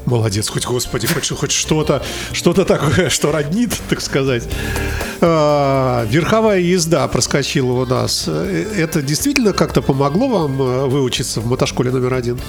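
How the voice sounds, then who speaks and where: A man's voice: a little high, lively intonation, moderate pace, creaky. A man hosting a chatty, informal interview, throwing in jokey asides as he asks questions. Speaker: interviewer, host. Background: faint music.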